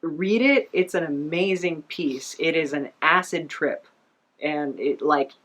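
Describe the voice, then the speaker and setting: A woman's voice: low-pitched, slow, fairly bright tone. A woman hosting a podcast in a casual, rambling, self-deprecating chat style. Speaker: podcast host.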